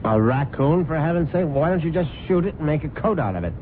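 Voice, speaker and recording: A man's voice: a little high, lively intonation, quick pace, slight background noise, lightly acted. An actor playing a scheming husband who poisons his rich wife, speaking in a soothing, falsely tender, mocking way in an old radio drama. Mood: irritated. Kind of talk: 1970s radio drama acting. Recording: lo-fi old radio broadcast with music.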